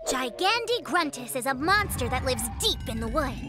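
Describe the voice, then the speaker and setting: High-voiced theatrical little cartoon girl. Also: sing-song, normal speed. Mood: spooky, dramatic. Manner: self-assured, theatrical, teasing. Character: confident, dramatic little girl animal cub storyteller. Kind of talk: animated kids' cartoon dialogue. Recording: loud music behind the voice. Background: prominent cartoon music.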